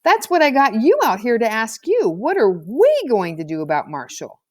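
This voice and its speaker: A woman's voice: on the high side, huge pitch swings, normal speed, no echo, studio quality, voice pushed up. A woman voicing a cocky, slangy schoolboy with a brash, self-justifying manner in a storybook reading. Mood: indignant, self-justifying. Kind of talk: storybook character voice.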